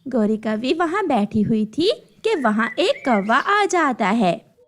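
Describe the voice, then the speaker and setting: A woman's voice: on the high side, sing-song, slow. A woman narrating a scripted cartoon story as the storyteller.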